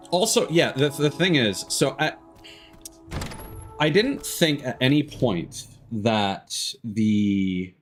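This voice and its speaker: A man chatting casually as a game streamer, candid and sweary, thinking aloud as he goes. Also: on the low side. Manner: sweary, candid, self-deprecating. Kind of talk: casual live-stream chat and gameplay commentary.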